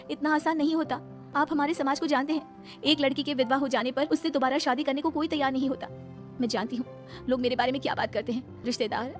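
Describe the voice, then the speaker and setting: A woman's voice: high voice, lightly acted. A woman voicing a dutiful, sorrowful young widow of 25 in a cartoon family melodrama, pleading and deferential, with music behind her. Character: sorrowful young widowed daughter-in-law, 25, dutiful and self-effacing.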